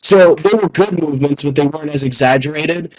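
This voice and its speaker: A young man chatting casually about films as a podcast guest, excitable and effusive, swearing freely. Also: on the high side, a little fast, rough recording. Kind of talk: casual film discussion.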